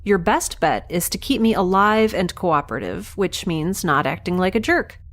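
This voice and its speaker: A woman's voice: fairly low pitch, moderate pace, close to an everyday voice. A woman playing a captive young scientist in a science fiction audio drama, wry, plain-spoken and sarcastic as she bargains. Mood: defiant, sarcastic.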